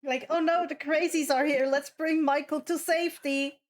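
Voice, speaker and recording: A woman's voice: sits high, fairly even intonation, moderate pace, a little hiss or hum. A woman game master casually explaining what happens in a tabletop role-play session.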